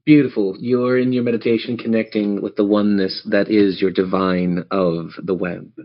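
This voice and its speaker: A man's voice: fairly low pitch, flat delivery, moderate pace. A man running a tabletop role-playing game, narrating scenes in a descriptive, theatrical storyteller's manner. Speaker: game master. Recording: dull lo-fi audio.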